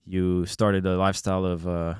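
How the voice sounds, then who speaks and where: Young man hosting with a smooth, low voice. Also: dry recording, studio quality. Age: young adult. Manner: relaxed, laughs often, pauses with fillers. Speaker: podcast host. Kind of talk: casual conversation.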